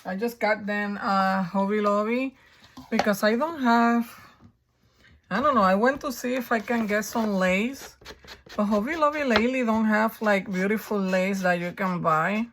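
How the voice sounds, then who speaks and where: A woman with a raspy, hoarse voice from a coming flu, chatting her way through a crafting tutorial and thinking aloud as she works. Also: medium pitch, a little slow, a bit bright, a little hiss or hum. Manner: chatty, thinking aloud while working, frequent ums. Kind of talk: casual step-by-step crafting tutorial.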